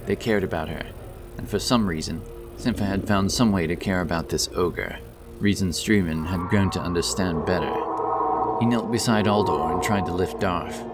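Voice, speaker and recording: Casting: deep male storyteller, solemn and dramatic, for scripted fantasy narration over strong music. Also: normal speed.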